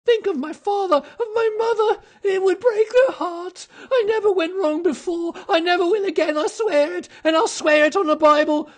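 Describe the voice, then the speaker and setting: High-pitched, cringing, terrified thief. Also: male voice, normal speed, a bit bright. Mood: hysterical pleading. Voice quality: crackling, shrill when pleading. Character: terrified, cringing, pleading small-time male thief.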